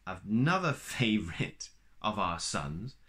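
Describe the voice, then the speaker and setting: Animated man reading a children's story. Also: on the low side, a little hiss or hum. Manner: animated, slow and playful storytime delivery with asides. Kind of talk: reading a children's picture book aloud.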